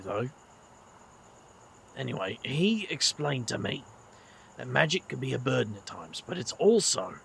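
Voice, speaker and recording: An actor playing a weary, kindly middle-aged British magician with a gravelly voice and a slangy, wry way of talking in an audio drama. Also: male voice, medium pitch, fairly bright tone, a little hiss or hum, heavily acted.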